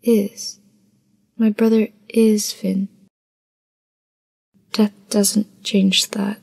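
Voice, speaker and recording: A woman playing a polite, hesitant but stubborn young village girl in an animated film. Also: mid-range voice, very slow, vocal fry, no room sound, exaggerated voice. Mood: quietly insistent. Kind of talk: animated film character acting.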